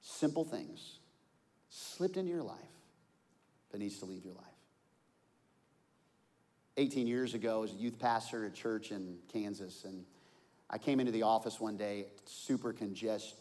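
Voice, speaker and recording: A man's voice: lowish voice, a little slow, pauses often. Preaching man in a reverberant hall. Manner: storytelling, swings from quiet to loud. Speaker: pastor. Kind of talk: sermon.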